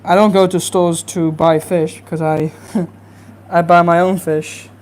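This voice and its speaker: A young adult livestreamer talks casually from a home fish room, with a soft, relaxing voice. The speech rambles along with easy fillers, in the middle of the pitch range, over a faint background hiss. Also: flat delivery, slow. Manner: easygoing, rambling, many fillers. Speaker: fishkeeping streamer. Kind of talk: casual livestream chat while cleaning tanks.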